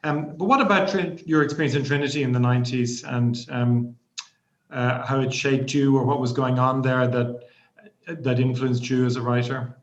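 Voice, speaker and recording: A man's voice: mid-range voice, steady tone, moderate pace. A man in his forties, a professor hosting an interview, asking engaged questions with frequent ums. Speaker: professor, host. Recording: echoey room, dull lo-fi audio.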